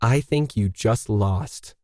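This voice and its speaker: A man's voice: lowish voice, takes it slowly, a little mellow, no room sound. Man reading as stubborn woman artist, fiery, challenging, emphatic, audiobook style, dry sound. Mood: gloating.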